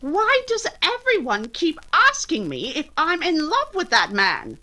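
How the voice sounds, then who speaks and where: A woman acting a witty, independent young gentlewoman in a 1940s-style radio drama, poised and emphatic. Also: high voice, wildly swooping pitch, a little slow, full character voice. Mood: exasperated.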